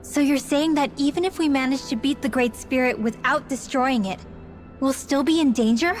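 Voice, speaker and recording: A woman's voice: sits high, moderate pace, faint music behind, natural voice. A woman playing an earnest, anxious young heroine in a video game. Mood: worried. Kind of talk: scripted video game voice acting.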